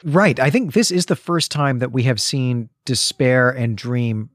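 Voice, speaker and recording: A man hosting a podcast, explaining a story analytically in measured, careful phrases. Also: mid-range voice, dry recording, clean studio sound. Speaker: podcast host. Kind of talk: analytical book discussion.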